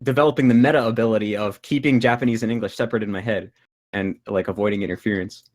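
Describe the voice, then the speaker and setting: A man's voice: on the low side, steady tone, fast, a little mellow. A young man who makes videos about learning languages talks over a video call, explaining at length with many fillers and restarts. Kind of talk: casual discussion.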